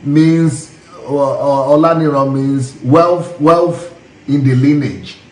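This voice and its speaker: A man's voice: mid-range voice, fairly even intonation, takes it slowly. A man hosting a casual interview, curious and asking many questions, laughing and reading out viewer comments. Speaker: host. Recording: echoey and distant from mic.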